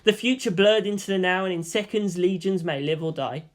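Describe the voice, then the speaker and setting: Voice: mid-range voice, a little fast. A narrator reading scripted fan fiction prose as an audio story.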